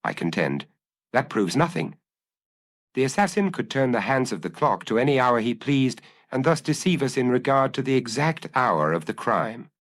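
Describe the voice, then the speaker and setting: A man's voice: medium pitch, sing-song, normal speed, a bit bright, pitched above the performer's own voice. A man voicing an insistent defence counsel in a detective audiobook, arguing rhetorically like a courtroom orator. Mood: insistent, persuasive.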